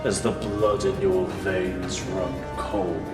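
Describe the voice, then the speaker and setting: A man voicing a grim, sorrowful frozen villain scientist, speaking slowly and formally in a low, hushed voice. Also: little pitch movement, long reverb tail, music in the background. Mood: menacing. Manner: cold, formal, measured.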